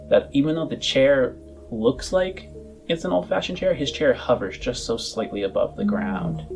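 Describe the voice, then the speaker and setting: A low-pitched man narrates a tabletop roleplaying game as its game master. He speaks very slowly and descriptively, leaving dramatic pauses. Soft music plays behind him.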